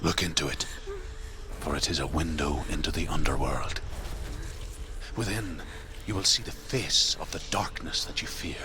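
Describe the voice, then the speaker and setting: A man playing a grave, commanding spirit guide in a dark fantasy game, solemn, deliberate and urging in his delivery. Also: a bass voice, noisy background. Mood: solemn, instructive.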